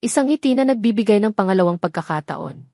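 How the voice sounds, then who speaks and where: A woman's voice: mid-range voice, expressive, fast, mellow, no room sound, studio quality. A woman reads a scripted dramatic story as a storyteller.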